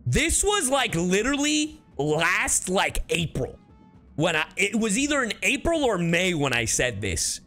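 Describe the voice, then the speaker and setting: A man's voice: sits high, very animated, measured pace, pushed and bright, faint music behind. A man streaming casually to his chat, slangy and emphatic, repeating himself to drive points home. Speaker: streamer and voice actor. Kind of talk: casual livestream reaction chat.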